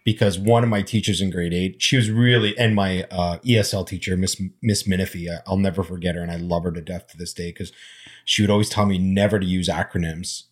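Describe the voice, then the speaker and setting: Man in his 40s, low-pitched, husky, Armenian and Arabic his first languages, reflective storytelling. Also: steady tone, brisk. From immigrant, grew up speaking Armenian and Arabic. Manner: reflective, storytelling, frequent fillers. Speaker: panel guest. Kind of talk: casual conversation.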